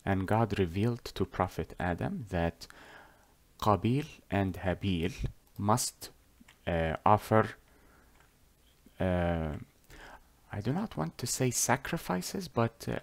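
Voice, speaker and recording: Arabic-speaking guy teaching a story in english, lowish voice, real slow and halting, fixes his words a lot. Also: animated, gravelly. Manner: deliberate, halting, with frequent pauses and self-corrections. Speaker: religious storyteller teaching on a whiteboard. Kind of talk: explanatory storytelling lesson.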